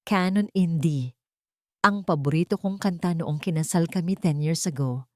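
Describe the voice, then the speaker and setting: Lower-pitched woman narrating a dramatic audiobook. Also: normal speed, dark tone, dry recording, studio quality. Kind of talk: scripted first-person dramatic story narration.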